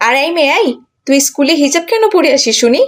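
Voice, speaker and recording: A woman's voice: high-pitched, expressive, quick pace. A woman voicing a harsh, scornful cartoon schoolteacher who scolds and bullies with sarcastic questions. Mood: angry scolding. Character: harsh, scornful adult female schoolteacher, bigoted and bullying.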